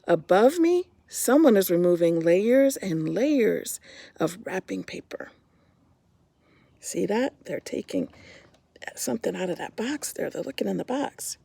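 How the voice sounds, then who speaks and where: A middle-aged woman reading a picture book aloud to children, pausing to point out the pictures. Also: mid-range voice, big pitch swings, creaky, mellow, breathy edge, no room sound. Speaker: homeschool story reader.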